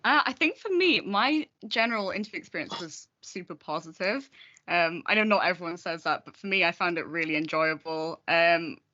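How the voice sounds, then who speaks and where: A man's voice: high voice, lively intonation, moderate pace, sharp tone, slight background noise. A young man, upbeat and jokey in a casual group chat. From South Wales. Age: young adult. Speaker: student guest. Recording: dull lo-fi audio.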